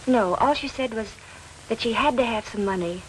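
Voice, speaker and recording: A woman's voice: fairly high pitch, normal speed, a little hiss or hum, natural voice, low-quality recording. A woman playing a subdued, worried accused woman. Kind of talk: 1940s film acting.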